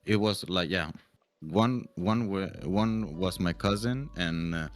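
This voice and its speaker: A young man chatting casually, halting and repeating words as he goes, with casual swearing. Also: deep voice, soft background music. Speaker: podcast co-host. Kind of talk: casual chat.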